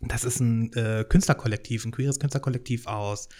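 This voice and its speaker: A man in his thirties, a podcast host from Hannover, talks freely in a casual monologue. He rambles, restarts sentences and fills pauses with small hesitations, at a medium pitch. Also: fairly even intonation, warm tone. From Hannover, Germany. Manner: rambling, many fillers and restarts.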